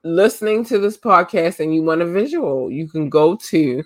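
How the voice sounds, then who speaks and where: A woman hosting her podcast, her voice getting hoarse. She talks loosely with lots of ums and slang. The sound is close and dry, with no room around it. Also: fairly low pitch, animated, normal speed, no echo. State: losing her voice. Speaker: podcast host. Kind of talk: casual live podcast talk.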